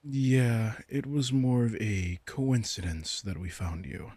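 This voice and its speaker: A man's voice: on the low side, slow, a little mellow, husky, dry recording, exaggerated voice. A man playing a commanding, teasing vampire king in a roleplay audio drama, smug and casually menacing as he speaks straight to the listener. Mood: amused, explaining.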